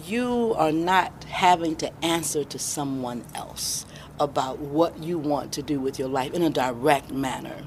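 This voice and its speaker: A middle-aged American woman answering interview questions as a guest, reflective and deliberate, pausing for emphasis. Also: low-pitched, slight background noise. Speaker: interview guest, independent filmmaker.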